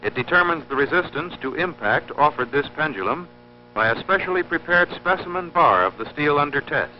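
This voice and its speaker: A man narrating an instructional film in a formal, scripted, measured and precise teaching manner. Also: a little high, slight background noise. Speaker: narrator of an industrial training film. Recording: dull lo-fi old film soundtrack, humming.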